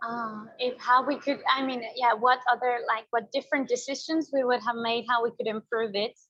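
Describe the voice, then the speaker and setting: A woman's voice: on the high side, fairly even intonation, moderate pace, a bit bright. A woman documentary filmmaker answering thoughtfully in a festival interview, often searching for words. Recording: dull lo-fi audio.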